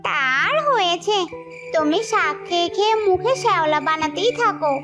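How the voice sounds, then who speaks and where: A nagging village wife in a children's cartoon, played by a woman. Her voice is extremely high, and she complains and scolds in homely colloquial speech. Music runs clearly behind. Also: normal speed. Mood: scolding.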